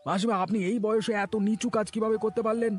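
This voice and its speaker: A man voicing a stern, accusing village husband in a cartoon, confronting people loudly. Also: sits high, fairly even intonation, fast, faint music behind, close to an everyday voice. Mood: outraged. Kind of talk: cartoon voice acting.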